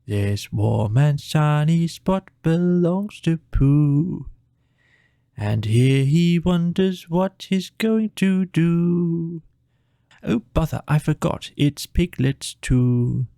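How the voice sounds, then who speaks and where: A man's voice: on the high side, lively intonation, drawn-out words, gravelly, mellow, clear, resonant tone, no echo, clean studio sound, voice pushed up, heavily acted. A man voicing a friendly, simple-minded toy bear in a children's storybook, pondering and hesitant. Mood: musing. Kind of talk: children's audiobook character voice.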